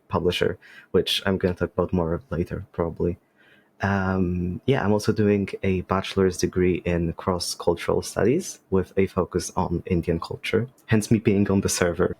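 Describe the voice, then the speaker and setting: A man's voice: sits low, a little mellow. A man in his twenties from Poland, a native Polish speaker, chatting as a podcast guest over a video call with a hesitant, umming way of talking. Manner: hesitant, with many ums and restarts. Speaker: podcast guest, writer and game master. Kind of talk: casual podcast chat.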